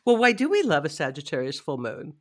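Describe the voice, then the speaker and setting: A woman co-hosting an astrology podcast in casual chat, a bit stuffy from a head cold, upbeat and quick to laugh. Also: sits low, very animated. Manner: chatty, upbeat, laughs often. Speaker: podcast co-host.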